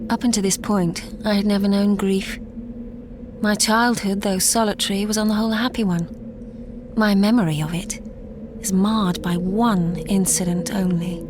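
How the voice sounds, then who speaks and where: A woman playing a sheltered, wistful young gentlewoman in a gothic audio drama, speaking in a measured, literary way. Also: mid-range voice, fairly even intonation, background noise, close to an everyday voice. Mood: wistful, haunted. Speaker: lead actress and narrator. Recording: audio drama with clear background ambience.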